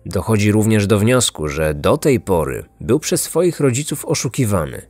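A man narrating a scripted true-crime podcast in measured, suspenseful phrases. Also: on the low side, big pitch swings, a little mellow, no room sound. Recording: dry studio voice over music. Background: background music.

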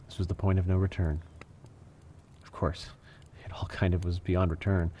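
An actor as a somber, low-voiced survivor narrator. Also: male voice, little pitch movement, moderate pace, warm tone, slight background noise, natural voice. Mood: somber, reflective. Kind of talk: dramatic narration in audio drama.

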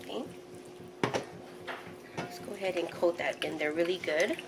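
A woman's voice: fairly low pitch, normal speed, vocal fry, very noisy. A middle-aged woman hosting a home cooking channel, casually narrating each recipe step with the odd "um" as she cooks in her kitchen. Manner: instructional, walks through each step with fillers.

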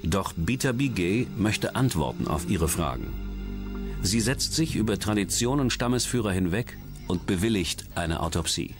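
Low-pitched male documentary narrator over music. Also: expressive, moderate pace. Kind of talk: scripted narration. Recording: loud music bed under voice.

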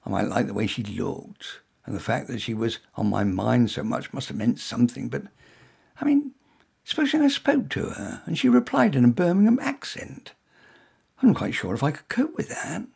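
A man's voice: medium pitch, creaky, darkish tone, husky. A man reading his own short story in the first person, wry, hesitant and self-deprecating.

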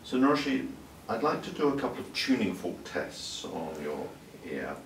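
An older man, a doctor, calmly explaining and demonstrating a hearing exam in a clinic room, with small restarts. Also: medium pitch, normal speed. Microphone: far camera mic. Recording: roomy, distant sound with faint room hiss.

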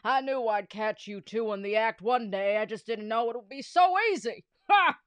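A woman reading a storybook aloud, voicing a gloating male school principal in a slow, smug, menacing way. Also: fairly high pitch, clear, resonant tone, no room sound, natural voice.

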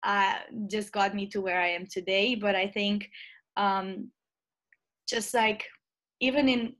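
A woman's voice: mid-range voice, fairly even intonation, slow pace, fairly bright tone. A young woman from Romania in her early twenties, speaking in an interview over a video call with earbuds, thoughtful and full of ums and restarts. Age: young adult. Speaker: interview guest, student leader. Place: bright room at home.

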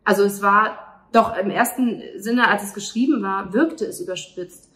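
A woman's voice: medium pitch, moderate pace, fairly bright tone. A woman in her thirties answering an interview in an earnest, reflective, conversational way into a handheld microphone. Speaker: playwright and actress. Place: indoors at a restaurant table by a window. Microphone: handheld microphone held by interviewer.

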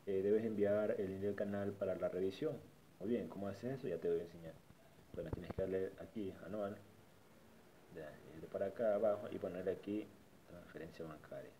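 A man's voice: deep voice, fairly even intonation, a little fast, mellow, slight background noise, low-quality recording. A man casually narrating a screen-recorded walkthrough of a website, often repeating and restarting his phrases. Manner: repetitive, restarts phrases while pointing things out. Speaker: tutorial vlogger.

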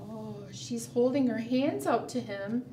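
A middle-aged woman telling a picture-book story to preschoolers in simple, expressive language, often asking the listeners questions. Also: a little high, measured pace. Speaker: children's librarian and storytime host. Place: indoor room, book shown to camera. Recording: roomy, distant mic, background noise.

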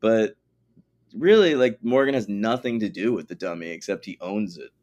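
Low-voiced blunt, sweary man. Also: expressive, normal speed, a little mellow, highs cut off. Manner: blunt, swears freely, teasing. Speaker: podcast co-host. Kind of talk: casual episode recap chat.

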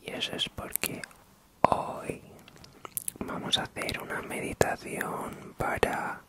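A man with an accent from Spain whispering an ASMR guided meditation in a soft, soothing, drawn-out way. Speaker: ASMR channel host.

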